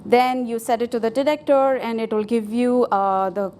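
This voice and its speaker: Woman whose first language is Tamil, conference presenter, fairly high voice, loose and hesitant delivery, faint background hum. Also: steady tone, moderate pace, a little mellow. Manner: informal, hesitant, with fillers and restarts. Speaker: conference speaker. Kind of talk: technical conference talk with live demo. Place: conference hall.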